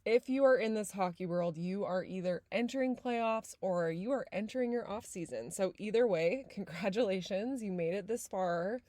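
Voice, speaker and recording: A woman's voice: mid-range voice, normal speed, few pauses, dark tone, no room sound. A woman from San Diego hosting a podcast, talking casually with lots of fillers, a little nasal and congested. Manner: chatty, many fillers like "like". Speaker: podcast host. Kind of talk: casual podcast intro and chat.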